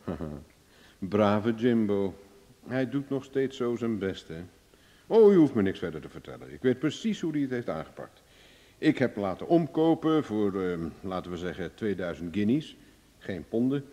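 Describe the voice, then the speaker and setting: A self-assured private investigator in an old radio drama. His voice is mellow and middle-pitched, with animated, wry phrasing. The recording carries a long reverberant tail. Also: normal speed. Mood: wry, amused. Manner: glib, ironic, self-assured. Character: cocky, cool-headed male private investigator, wry and bargaining. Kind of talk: Dutch radio drama acting.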